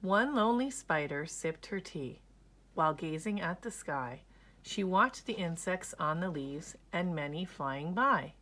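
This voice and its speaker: Slow, lower-pitched woman reading a storybook. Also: animated, sharp tone. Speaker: picture book reader. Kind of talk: scripted children's story read-aloud.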